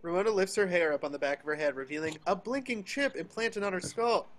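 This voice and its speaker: A man's voice: sits high, expressive, fast, a bit bright. A man reading scripted action descriptions as narrator of a table read over a video call.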